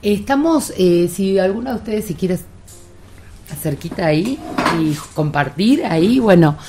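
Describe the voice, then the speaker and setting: A woman's voice: mid-range voice, lively intonation, a little slow, noisy background. A woman with an Argentine accent hosting an informal radio interview. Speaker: radio host introducing guests. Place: radio studio.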